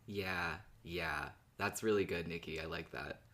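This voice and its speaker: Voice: sits low, a little slow, a bit bright. A podcast co-host talking casually as a fan in a discussion.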